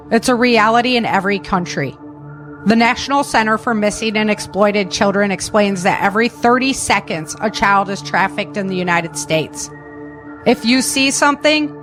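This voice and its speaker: A woman's voice: mid-range voice, expressive, normal speed, fairly bright tone, faint music behind. A woman reading a serious, scripted public service announcement.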